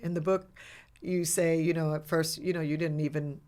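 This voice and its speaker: Lowish-voiced woman host, warmly affirming. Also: level tone, normal speed. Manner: supportive, frequent affirmations. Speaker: podcast host. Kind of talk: casual interview conversation.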